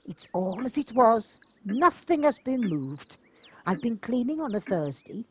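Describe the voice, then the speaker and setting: Lively, kindly village housekeeper actress, faint noise. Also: female voice, a little high, unhurried. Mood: kindly, welcoming. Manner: helpful, chatty, homely. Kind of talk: audio play acting. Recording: home device recording, light noise, clicks.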